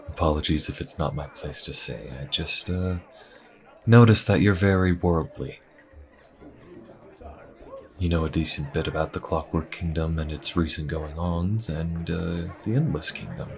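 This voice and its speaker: A man playing a calm, shrewd adventurer and secret agent in a fantasy audio roleplay, speaking in a measured, hesitant, conspiratorial way. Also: very deep voice. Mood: polite, probing. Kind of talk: fantasy audio roleplay, intimate conversational acting.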